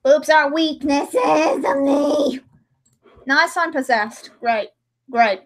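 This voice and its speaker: High-pitched woman chatting casually on a livestream. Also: slow. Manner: rambling, theatrical, with sudden mock-dramatic outbursts. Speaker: livestream host and amateur voice-over editor. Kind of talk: casual livestream chat while editing.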